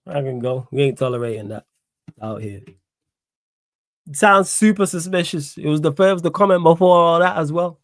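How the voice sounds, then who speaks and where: A young man with a strong Manchester accent talks back to his live chat, indignant and insistent, circling back to the same phrases again and again. His voice sits a little high for a man. The sound is dry and close, with no room around it. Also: moderate pace, no room sound. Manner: indignant, repeats phrases, reads chat aloud. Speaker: livestream host. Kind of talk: live stream chat reaction.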